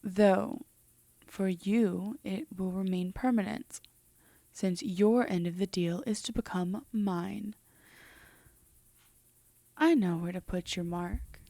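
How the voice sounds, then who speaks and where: A woman playing a composed, teasing, possessive female demon in a roleplay audio drama, speaking in a measured, softly haughty way that slips into whispers. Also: medium pitch, creaky, dry recording. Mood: soft, intimate. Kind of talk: roleplay audio drama, intimate slow delivery.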